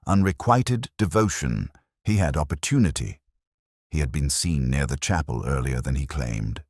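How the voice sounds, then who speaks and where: A man narrating a scripted first-person detective story in a measured, brooding, literary manner. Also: extremely low pitch, a little mellow, breathy edge, no echo, studio quality.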